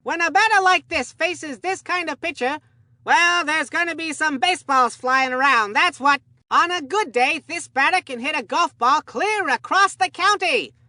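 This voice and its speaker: Goofy cartoon ballgame commentator, really high sharp voice, earnest and rambly, absent minded, folksy. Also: moderate pace, no room sound. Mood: upbeat, earnest. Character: excitable, scatterbrained kids' baseball commentator Abner Dubbleplay. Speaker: sports commentator. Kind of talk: cartoon video game sports commentary.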